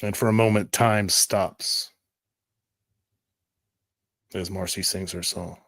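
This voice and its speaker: Male game master, fairly low voice, dry recording, measured atmospheric scene description. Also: fairly even intonation, creaky, fairly bright tone, dry recording. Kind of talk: descriptive third-person tabletop game narration.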